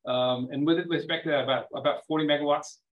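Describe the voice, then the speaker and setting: A man speaking as a company chairman on a web earnings call, presenting semi-formally off the cuff, haltingly, often repeating and rephrasing his words. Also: a little high, fairly even intonation. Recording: dull, lo-fi web call audio.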